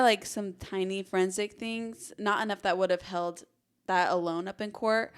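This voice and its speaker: A woman's voice: mid-range voice, smooth. A woman co-hosting a true-crime podcast, telling the case in a casual, conversational way peppered with "like". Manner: casual, frequent "like" as hedging.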